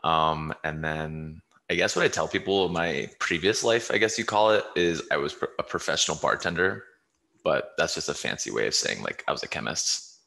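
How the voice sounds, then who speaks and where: A man's voice: a bass voice, animated, moderate pace, creaky, sharp tone. A young man from Colorado on a headset, bashful and self-deprecating while introducing himself. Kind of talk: casual self-introduction on a video call.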